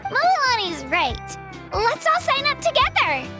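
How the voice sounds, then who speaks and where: A tiny childlike fairy companion from a video game, extremely high and very animated. The delivery is chatty, excitable and teasing, with loud music underneath. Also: female voice, a little slow, heavily acted. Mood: cheeky. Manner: excitable, teasing, refers to self in third person. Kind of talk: video game character acting.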